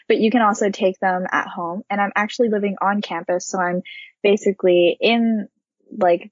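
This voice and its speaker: A woman's voice: mid-range voice, darkish tone, no room sound. Young woman, from Japan, student guest, earnest, thoughtful, dry, lo-fi audio. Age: young adult. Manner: earnest, thoughtful, light fillers. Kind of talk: casual interview chat.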